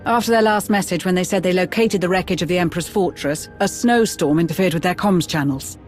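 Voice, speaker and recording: A man's voice: high-pitched, brisk, faint music behind, lightly acted. A man acting an earnest military aide in a video game, speaking in a formal, urgent way. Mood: urgent, concerned. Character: earnest male military aide giving mission briefings.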